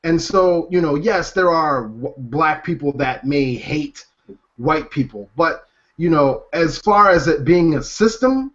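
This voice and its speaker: A man hosting a podcast in casual chat, blunt and profane, talking with animated emphasis. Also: fairly high pitch, unhurried. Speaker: podcast host. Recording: dull lo-fi podcast audio.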